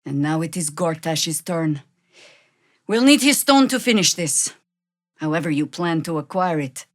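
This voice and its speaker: A woman's voice: deep voice, animated, a little slow, no room sound. A woman voicing a seasoned, wry, battle-hardened druid veteran in a fantasy video game, speaking in a dry, world-weary, commanding way. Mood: determined.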